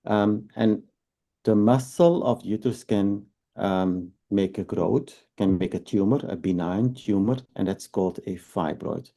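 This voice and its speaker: A man's voice: fairly low pitch, unhurried, creaky, dark tone. A man in his fifties from Belgium with a Belgian accent, a fertility doctor explaining things patiently, step by step, with tag questions. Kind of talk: explanatory interview talk.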